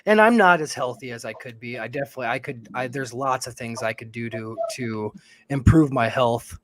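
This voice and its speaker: A man in his forties talks through a casual livestream chat, reflective and halting, with lots of pauses and fillers. His voice sits at an ordinary middle pitch. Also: a bit bright. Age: 40s. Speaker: livestream co-host.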